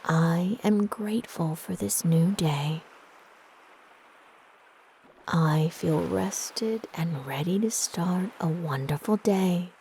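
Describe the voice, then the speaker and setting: A woman narrating a guided meditation in a soft, breathy, gentle and soothing manner with long pauses. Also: fairly low pitch, slight background noise.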